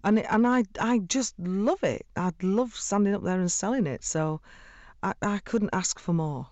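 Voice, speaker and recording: A woman's voice: medium pitch, moderate pace, a little mellow, dry recording. A middle-aged woman from Sheffield, an effusive singer chatting in a radio interview and laughing often. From Sheffield, England.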